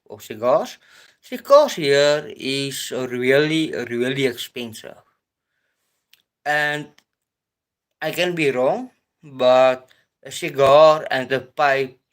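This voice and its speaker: A South African man chatting on his own live stream. He talks at an unhurried, drawn-out pace and often stops to restart his sentences. His voice sits at an ordinary middle pitch. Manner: hesitant, halting, with frequent pauses and restarts. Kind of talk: casual live-stream chat.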